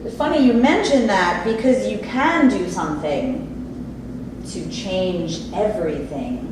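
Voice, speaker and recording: A woman playing a chatty, deadpan female pit bull in a staged play reading. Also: medium pitch, measured pace, natural voice. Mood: wry, confiding. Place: theatre space, recorded from audience distance. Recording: echoey, distant, noisy room recording.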